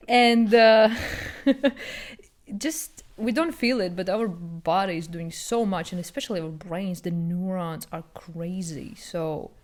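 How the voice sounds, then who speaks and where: A woman co-hosting a podcast in casual chat, speaking haltingly and searching for her words. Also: on the low side. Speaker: podcast co-host.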